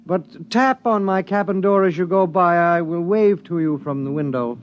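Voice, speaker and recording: A man playing a languid, fussy gentleman. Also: high-pitched, moderate pace, dull audio, music in the background, natural voice. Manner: languid, mannered and sardonic. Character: idle, fussy gentleman who hates the outdoors. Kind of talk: 1950s radio drama acting.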